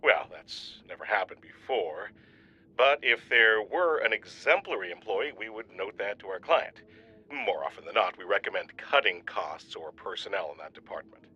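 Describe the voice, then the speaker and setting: An actor playing a formal, humourless company auditor in an audio comedy, with a halting, officious, deadpan delivery. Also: male voice, fairly low pitch, slightly rough, muffled recording, exaggerated voice.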